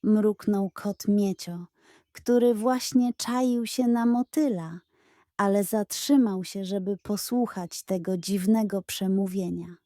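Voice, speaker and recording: Unhurried, mellow woman telling bedtime stories. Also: mid-range voice, no room sound, clean studio sound. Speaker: storyteller. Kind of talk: children's bedtime story narration.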